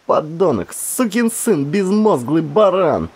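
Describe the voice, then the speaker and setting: Audiobook character: spiteful young woman, raised pitch, hot-tempered, hurling her words played by a male narrator. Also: big pitch swings, takes it slowly, darkish tone, slightly rough, heavily acted. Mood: furious.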